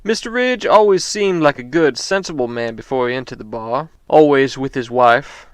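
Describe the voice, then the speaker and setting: A man's voice: on the high side, fairly bright tone, smooth, no room sound, lightly acted. A man playing a wry, world-weary homeless informant, delivering a theatrical, knowing monologue in a noir audio drama.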